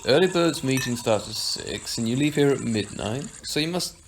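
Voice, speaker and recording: A mid-pitched man acting as a flippant pub regular who takes nothing seriously. His delivery is casual and teasing, in an audio drama with faint background noise. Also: expressive, moderate pace, gravelly. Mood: teasing, nosy. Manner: casual, hesitant, joking.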